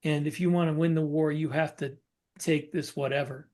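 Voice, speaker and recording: Man, slightly high voice, podcast co-host, casual explanation, halting, reworked phrases. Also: fairly even intonation, a little mellow. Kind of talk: casual discussion of writing military science fiction.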